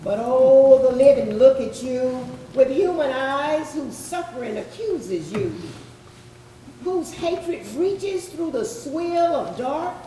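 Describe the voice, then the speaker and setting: A woman's voice: sits high, expressive, slow, a crowd in the background. A woman delivering an intense, solemn, dramatic poetry recitation in a readers theatre. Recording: echoey room, distant mic, clear noise.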